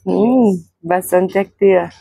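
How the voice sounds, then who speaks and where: A woman's voice: medium pitch, slow pace, creaky, warm tone, breathy edge, no echo. A woman asking informal prepared interview questions, hesitant and rephrasing as she goes.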